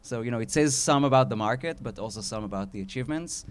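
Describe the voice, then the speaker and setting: A man with an Israeli accent, a startup founder speaking at a live event, earnest and measured with pauses and restarts. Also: medium pitch, lively intonation, clear, resonant tone.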